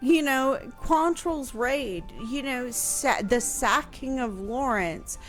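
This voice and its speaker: A woman's voice: high voice, slow, soft background music. A woman explaining history in casual conversation on a radio show, piecing her sentences together hesitantly. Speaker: history show host answering questions.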